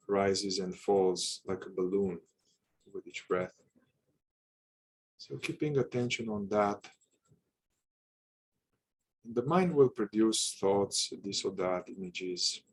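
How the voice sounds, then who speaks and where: Low, husky male meditation guide. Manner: unhurried, halting short phrases with long pauses. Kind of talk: guided meditation, calm instruction.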